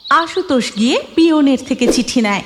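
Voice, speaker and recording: A woman's voice: high voice, expressive, moderate pace, a little hiss or hum. A woman giving scripted narration of a cartoon moral story, in a reverberant recording.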